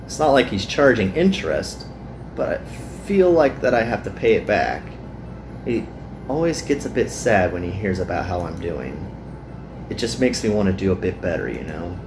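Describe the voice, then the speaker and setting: A man playing an animated, downtrodden young worker. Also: low voice, normal speed, over music. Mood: earnest, embarrassed. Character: young, downtrodden, self-deprecating male worker in a visual novel.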